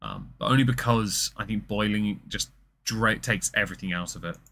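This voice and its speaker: A man in his thirties with a deep voice, mocking a video in sarcastic commentary as a stream host. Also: normal speed, creaky, pushed and bright. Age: 30s.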